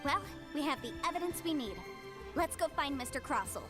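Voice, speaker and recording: Casting: a polite, assured young advocate for a fantasy game; bright-pitched, crisp formal wording, businesslike. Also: female voice, animated, a little fast, over music. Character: confident, businesslike young female legal adviser. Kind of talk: scripted game dialogue.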